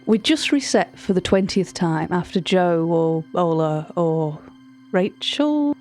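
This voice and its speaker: An anxious, confiding narrator in an audio mystery. Her voice sits a little low as she gives a wordy, hesitant account of strange events. Soft music plays behind her. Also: expressive, takes it slowly. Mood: irritated, confiding. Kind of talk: audio drama monologue, recorded-tape account.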